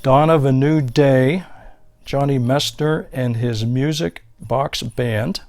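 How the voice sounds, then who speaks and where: A man's voice: medium pitch, takes it slowly, a little mellow, no room sound. Male collector, mid-range voice, unscripted show-and-tell, casually listing items one after another. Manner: unscripted, listing titles and labels item by item. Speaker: record collector presenting his finds.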